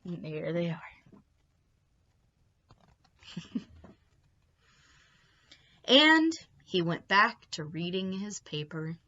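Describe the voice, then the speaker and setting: Bright-voiced woman reading a storybook, lo-fi sound. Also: fairly low pitch, sing-song, extremely slow, pauses often. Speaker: librarian reading stories to children. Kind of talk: expressive storybook read-aloud with picture asides.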